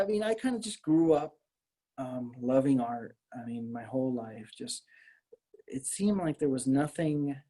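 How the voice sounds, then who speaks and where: Mellow-voiced American man, hesitant talker. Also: medium pitch. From Miami area, Florida, US. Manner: hesitant, rambling, with many hedges and repeated words. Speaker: artist interviewed as guest. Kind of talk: casual conversational interview.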